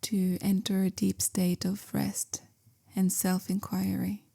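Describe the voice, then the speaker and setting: A woman leads a guided meditation with gentle, measured calm. Her voice is mellow and even in pitch, settling into a soft creak. Phrases often trail off into a breathy near-whisper. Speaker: meditation guide, yoga teacher. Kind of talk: guided meditation, slow soothing instruction.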